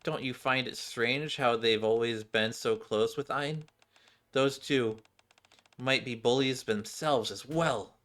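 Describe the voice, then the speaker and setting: A gossiping schoolgirl in a video game, read out by a man at mid pitch. She is nosy and casual, full of chatter. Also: takes it slowly, fairly bright tone.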